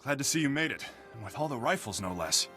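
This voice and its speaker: An actor playing a gruff, duty-bound prison station officer in a video game, giving brisk military briefings. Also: male voice, medium pitch, expressive, over music, lightly acted. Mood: brisk, businesslike.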